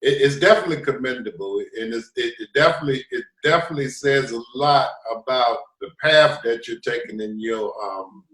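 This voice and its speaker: A man co-hosting a conversational podcast, reflective and halting, often repeating phrases as he searches for words. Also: mid-range voice, a bit bright. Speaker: co-host. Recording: echoey, roomy sound.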